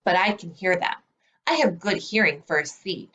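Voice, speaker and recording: A woman voicing a brazen, self-declared bad little seed in a storybook, with dramatic, confessional delivery and drawn-out words. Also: fairly low pitch, lively intonation, a bit bright, dull audio.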